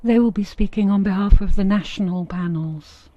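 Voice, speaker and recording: A woman doing live interpretation with a very dark, mellow tone and a steady, even delivery. The sound is dry and dull, like a booth feed with little top end. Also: medium pitch, moderate pace, no echo. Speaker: simultaneous interpreter.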